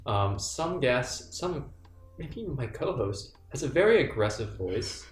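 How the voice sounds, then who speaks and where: A man's voice: mid-range voice, very animated, measured pace, echoey room, slight background noise. A young man co-hosting a casual podcast chat, playful and teasing, rambling a little. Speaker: podcast co-host.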